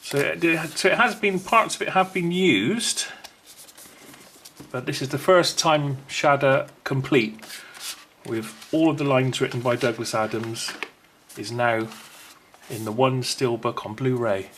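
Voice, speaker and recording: A man's voice: mid-range voice, measured pace, fairly bright tone. Man chatting through an unboxing, noisy recording. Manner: chatty and rambling, thinking aloud. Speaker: unboxing video presenter. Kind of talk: casual unscripted unboxing commentary.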